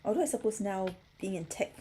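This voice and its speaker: A woman's voice: medium pitch, lively intonation, a little fast, warm tone, a little hiss or hum. A middle-aged woman from Singapore hosting a puzzle livestream, chatting casually and answering comments from viewers.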